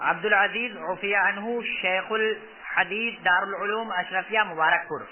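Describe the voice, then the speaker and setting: A man formally reading out written religious endorsements, with Arabic phrases and names, in measured, separated phrases. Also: high-pitched, crowd noise. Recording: tinny phone-like sound with faint noise.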